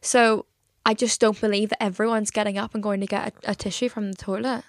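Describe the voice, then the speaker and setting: A woman's voice: mid-range voice, steady tone, brisk, no echo, clean studio sound. A young woman hosting a podcast in a casual chat, swearing freely and laughing often. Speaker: podcast host.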